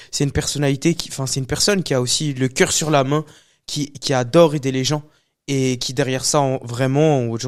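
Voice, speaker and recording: A man hosting a podcast, greeting viewers and warmly introducing his best friend as the guest. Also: medium pitch. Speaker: podcast host. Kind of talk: casual podcast talk.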